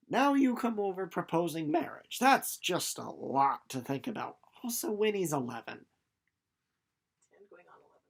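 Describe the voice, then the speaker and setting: Playful young man casually recapping a book. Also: a little high. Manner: informal, playful, rambling with asides. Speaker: book reader and presenter.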